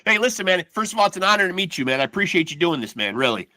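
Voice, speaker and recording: Bright, upbeat male podcast host. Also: high-pitched, rapid-fire, no echo. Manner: energetic, peppers speech with "man". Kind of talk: casual chat.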